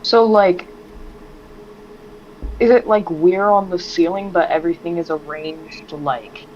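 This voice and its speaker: A woman's voice: on the low side, slow, darkish tone. A woman chatting casually as a player in a tabletop game. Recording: dull lo-fi mic, faint noise.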